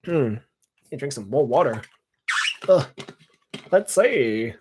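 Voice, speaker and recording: A man in his twenties chatting casually on a live stream, rambling with many fillers and clearing his throat now and then. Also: mid-range voice, big pitch swings, slow pace, background noise. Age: 20s. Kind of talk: casual live chat reading viewer comments.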